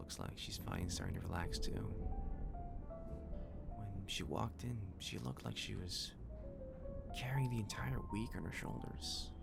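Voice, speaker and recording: A man playing a caring, gently teasing catboy cafe waiter in a soothing ASMR roleplay. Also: fairly low pitch, measured pace, gravelly, pauses often, loud music behind the voice. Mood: playful.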